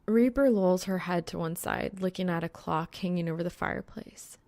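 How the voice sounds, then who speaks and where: Creaky-voiced woman narrating a story. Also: fairly low pitch, moderate pace, darkish tone, no room sound. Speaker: audiobook narrator.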